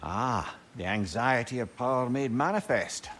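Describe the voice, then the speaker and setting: An actor playing a wise, measured male mentor in a video game, speaking in a calm, reflective and eloquent way. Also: medium pitch, a little hiss or hum.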